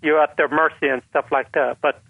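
An older man from the northern Philippines tells his story over a thin, tinny phone line. His voice sits a little high and sounds dry and close. He talks in an earnest way and often repeats words to stress them. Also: fairly even intonation, a little fast, creaky, no room sound. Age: 70s. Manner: earnest storyteller with a light laugh, repeats for emphasis. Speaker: guest. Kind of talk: conversational testimony.

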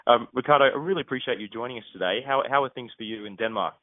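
A man's voice: medium pitch, racing, dry recording, narrow, tinny audio. A man hosting a professional interview, politely putting his questions with some hesitant phrasing. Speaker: interviewer, host.

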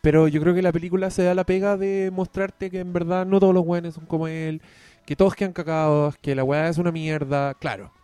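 A man's voice: a little high, steady tone, a little fast, hardly any pauses, warm tone, clean tone, no room sound. A man with a Chilean accent co-hosting a casual film chat podcast at home, rambling, joking and swearing freely. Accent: Chilean Spanish. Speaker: podcast co-host. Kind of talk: casual chat about films.

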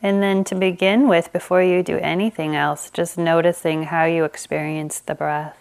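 A woman meditation teacher leading a guided meditation, speaking gently with long pauses. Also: lowish voice, steady tone, gravelly, clear, resonant tone.

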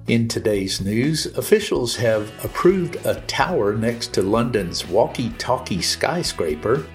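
A man's voice: mid-range voice, big pitch swings, unhurried, faint music behind. A man presenting a scripted industry news update on a podcast.

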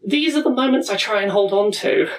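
A woman in her thirties speaks earnestly and reflectively, pausing and restarting as she recalls personal moments. Her voice sits at a middling pitch and sounds set back from the microphone. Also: far from the mic. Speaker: speaker at a pride event.

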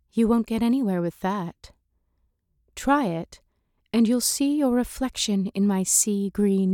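Female fiction narrator: measured, intimate, mellow tone, medium pitch, deliberate pacing. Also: no echo, studio quality. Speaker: story narrator. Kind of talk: scripted literary fiction narration, second person.

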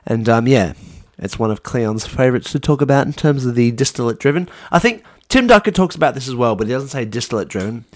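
A man's voice: medium pitch, animated, fast talker, hardly any pauses, darkish tone, dry recording, clean studio sound. An Australian man co-hosting a podcast in casual chat, with a wry, jokey and opinionated manner. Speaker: podcast co-host.

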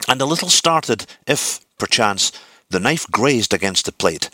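A man reading literary narration from a script, with deliberate, theatrical phrasing. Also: medium pitch, big pitch swings, sharp tone, slightly rough, dry recording. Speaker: audiobook reader.

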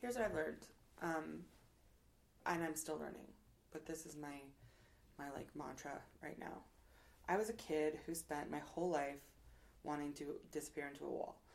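Low gravelly woman talking frankly. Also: normal speed, pauses often. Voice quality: loud voice. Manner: frank, rambling, swears casually, many fillers. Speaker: guest. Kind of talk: candid conversational interview.

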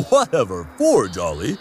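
Man doing an exaggerated, very animated cartoon character. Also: lowish voice, full character voice. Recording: music bed under the voice.

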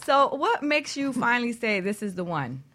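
A woman's voice: fairly high pitch, very animated, normal speed, fairly bright tone. A woman chatting casually, chiming in with short remarks and prompts.

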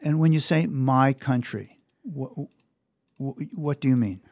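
Mellow-voiced male interviewer, dry sound. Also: mid-range voice, unhurried, no echo. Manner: gentle, careful questions.

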